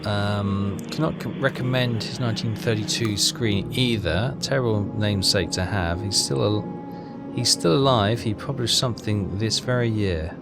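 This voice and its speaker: Guy doing a game playthrough, kinda low voice, casual, thinking out loud and reading stuff, game music behind him. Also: moderate pace, vocal fry. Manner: musing, thinking aloud. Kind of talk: casual game commentary, reading text aloud.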